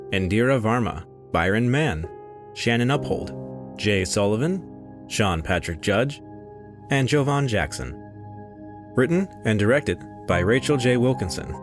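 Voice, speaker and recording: A man with a fairly low voice reads scripted credits as an announcer. Music plays loudly underneath him throughout.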